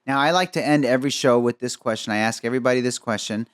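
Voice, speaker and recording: A man from Egypt hosting a podcast, upbeat and chatty with quick asides. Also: medium pitch, clean tone, no echo, studio quality. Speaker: podcast host. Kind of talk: conversational interview.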